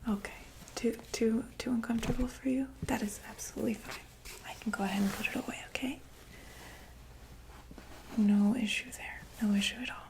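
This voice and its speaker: A woman whispering softly and breathily in a gentle, reassuring ASMR medical roleplay, speaking close to the microphone. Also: a little high, steady tone, a little fast, vocal fry, a little hiss or hum, poor audio. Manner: gentle, reassuring, hesitant check-up patter. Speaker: ASMR roleplayer playing a doctor for monsters.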